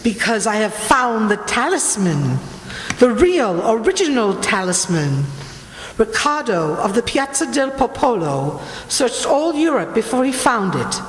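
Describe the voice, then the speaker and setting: A woman's voice: on the high side, very animated, a little slow. A woman playing an imperious, obsessive Italian noblewoman in a radio play, theatrical and grandly condescending as she tells ghostly legends. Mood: eerie conviction. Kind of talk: dramatic radio play acting. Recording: roomy, echoing hall, faint noise.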